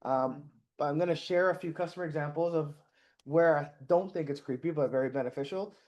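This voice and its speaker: A man's voice: on the high side, brisk, darkish tone. A middle-aged man giving a conference talk on stage, casual and self-deprecating, with many restarts and fillers. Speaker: conference speaker. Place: conference stage before an audience.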